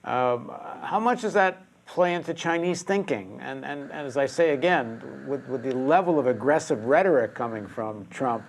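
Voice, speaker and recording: A man's voice: mid-range voice, lively intonation, normal speed, vocal fry, few pauses, a little hiss or hum. A man hosting a political interview, thinking aloud through long, discursive questions. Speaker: interviewer and host.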